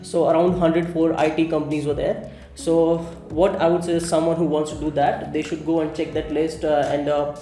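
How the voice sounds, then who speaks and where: A man's voice: a little high, soft background music. Indian man with an echoey sound. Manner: relaxed, many "uh" pauses while recalling. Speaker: interview guest, software engineer. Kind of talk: casual interview talk.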